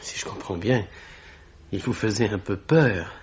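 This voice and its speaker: An actor playing a young amateur investigator in a French radio mystery, putting questions in a measured, insistent way. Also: male voice, lowish voice, close to an everyday voice. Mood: probing. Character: young amateur investigator, probing and level-headed. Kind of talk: French 1950s-style radio mystery acting. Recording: dull vintage radio-drama recording.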